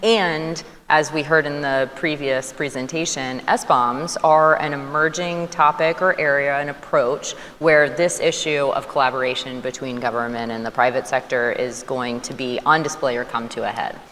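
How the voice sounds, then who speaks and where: A woman with an unusually low voice moderates a panel and poses questions, stumbling lightly over her words. Long hall reverb and faint ambience surround her. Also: fairly even intonation, normal speed, few pauses, fairly bright tone, a little hiss or hum. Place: conference hall.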